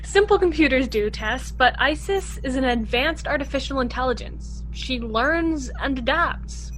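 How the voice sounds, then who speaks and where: Woman as high-voiced, studious young girl. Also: animated, moderate pace, fairly bright tone, slight background noise, rough recording. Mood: composed, curious. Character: bright, studious young girl colonist, composed and inquisitive. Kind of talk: science fiction radio play acting.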